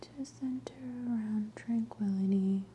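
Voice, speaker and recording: A woman whispers in a very mellow, dark tone with slow, unhurried phrasing. She sounds gentle and reassuring, like an ASMR practitioner quietly guiding a treatment. There is faint noise in the background. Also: mid-range voice, steady tone. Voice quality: whispery, breathy, unvoiced. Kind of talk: soft-spoken ASMR scalp exam roleplay.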